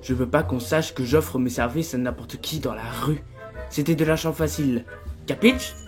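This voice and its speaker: A man's voice: medium pitch, normal speed, faint music behind, lightly acted. A man voicing a sassy, vulgar demon streetwalker in a cartoon dub, theatrical and sarcastic. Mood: conspiratorial.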